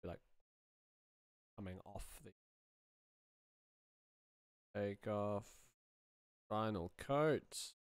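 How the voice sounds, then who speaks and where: A man's voice: on the low side, extremely slow, pauses often, smooth, no echo. A man in his thirties, a sound designer giving casual, fragmented commentary as he works, half to himself. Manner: muttering, fragmented phrases while concentrating. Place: small home room, indoors.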